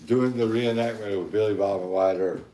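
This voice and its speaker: A man hosting a podcast, joking around in casual chat with a very deep voice. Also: normal speed, dark tone, roomy sound, faint music behind. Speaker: podcast host.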